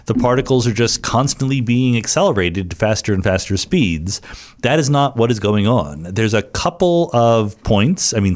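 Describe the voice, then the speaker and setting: A man with a fairly low voice speaks as a podcast host answering listeners. He explains things informally and conversationally, dropping in rhetorical questions and asides.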